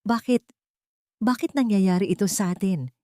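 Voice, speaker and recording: A woman's voice: medium pitch, moderate pace, mellow, no room sound, studio quality, voice pushed up, natural voice. A woman voicing a proud, distraught older wealthy mother in an audiobook drama, haughty and pleading. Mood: despairing.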